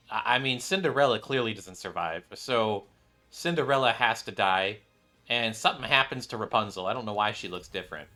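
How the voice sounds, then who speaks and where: Bright-voiced man doing gameplay commentary. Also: medium pitch. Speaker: gaming video host. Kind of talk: casual gameplay commentary, reading dialogue aloud. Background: faint game music.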